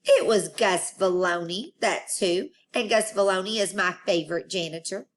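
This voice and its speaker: A woman storyteller reading a children's book aloud in an expressive style. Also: medium pitch, a little slow.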